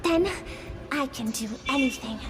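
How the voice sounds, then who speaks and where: A curious, wide-eyed little pupil in a video game. The voice is extremely high and rings with long reverb over music. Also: female voice, a little slow. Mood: dreamy, eager.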